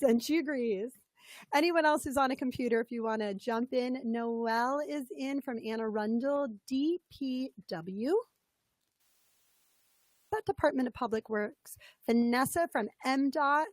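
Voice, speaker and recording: A woman with a slightly scratchy, fairly high voice leads a webinar as a coach. She talks in an upbeat, chatty way and greets people by name. The sound is dry and close. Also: a little slow, no room sound. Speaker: business coach presenting a webinar. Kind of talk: interactive webinar talk.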